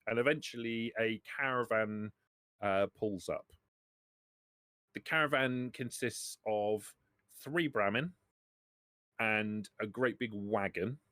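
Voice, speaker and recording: A man narrating a tabletop game as game master, haltingly, often repeating words as he thinks. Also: fairly low pitch, a bit bright, no echo, studio quality.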